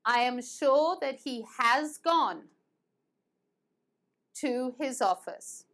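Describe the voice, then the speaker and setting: Slow, patient woman teaching grammar. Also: high voice, animated, a bit bright. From United States and England. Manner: slow, patient, repeats verb forms. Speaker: English teacher. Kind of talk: online grammar lesson.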